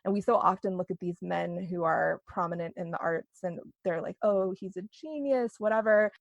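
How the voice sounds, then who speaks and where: A woman's voice: fairly low pitch, normal speed, gravelly, dry recording, lo-fi sound. A woman being interviewed as a novelist, chatting casually and peppering her talk with "like".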